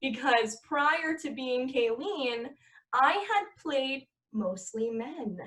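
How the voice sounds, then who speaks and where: A woman's voice: high-pitched, animated, takes it slowly, a bit bright. A woman in her twenties, a podcast guest and actress, talking casually with lots of filler words and easy laughter. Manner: chatty, heavy with "like" fillers, laughs easily. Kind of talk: casual conversation.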